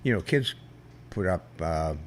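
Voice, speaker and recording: An older man, a veteran guest, telling stories in a rambling, halting way with many restarts and a loud voice that carries. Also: low voice, expressive, a little hiss or hum. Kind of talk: casual storytelling interview.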